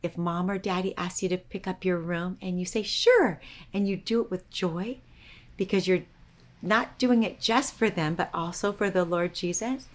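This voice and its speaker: A woman hosting a children's storytime, talking and reading to young listeners in a gentle, teacherly way. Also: lowish voice, fairly even intonation, moderate pace.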